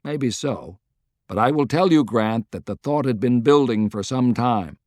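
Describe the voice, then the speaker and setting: A man's voice: medium pitch, animated, measured pace, darkish tone, no room sound, studio quality, natural voice. A man voicing a plain-spoken, weary male American president with a frank, folksy manner, in an audiobook. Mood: stern.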